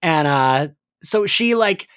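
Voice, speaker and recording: A man's voice: a little high, lively intonation, slow, fairly bright tone, clear, resonant tone, dry recording. Man hosting a casual recap, dry close sound. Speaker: podcast host.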